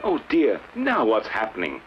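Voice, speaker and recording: A man's voice: lowish voice, huge pitch swings, normal speed, muffled recording, over music. An actor playing a blustering, greedy, evasive old king in a theatrical fairy-tale play, flustered and pompous. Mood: alarmed, greedy.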